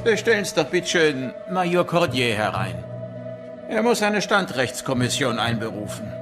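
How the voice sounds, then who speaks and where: Male actor as a commanding field marshal, swooping intonation, formal, loud music underneath. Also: mid-range voice, big pitch swings, normal speed, lightly acted. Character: commanding, politely imperious Austrian field marshal. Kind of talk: documentary drama acting. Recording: loud music over dramatised scene.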